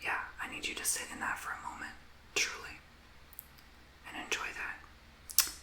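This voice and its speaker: A man giving a tarot reading in a soft whisper, earnest and emotional, rambling as he encourages the listener. The recording has a roomy echo. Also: measured pace. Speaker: tarot reader.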